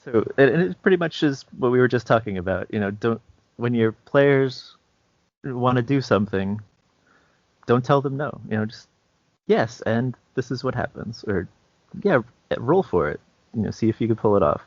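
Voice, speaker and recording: A man's voice: medium pitch, animated, moderate pace, a little mellow, no echo, dull audio. A man co-hosting a podcast as a game master, chatting casually in a hesitant, understated way. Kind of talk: casual chat.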